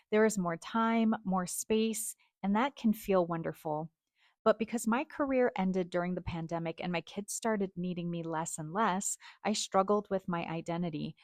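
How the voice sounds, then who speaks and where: Earnest woman in her forties hosting. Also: fairly low pitch. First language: Chinese. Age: 40s. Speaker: host. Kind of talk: reflective personal monologue.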